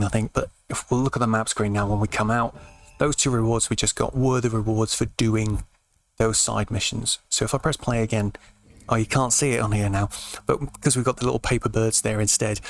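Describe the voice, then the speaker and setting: A British man giving laid-back, explanatory let's play commentary in an informal way, his voice slightly croaky. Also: on the low side. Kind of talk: casual game commentary.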